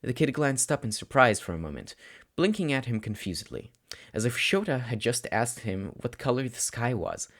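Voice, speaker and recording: A man reading scripted story narration aloud, audiobook style, with expressive, dramatic phrasing. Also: medium pitch, normal speed. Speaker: audiobook narrator of fan fiction.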